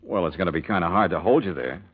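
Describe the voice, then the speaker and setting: A man's voice: low-pitched, expressive, fast, breathy edge. An American man acting a wry, no-nonsense private investigator in a 1940s-style radio drama. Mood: matter-of-fact. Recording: dull vintage radio recording.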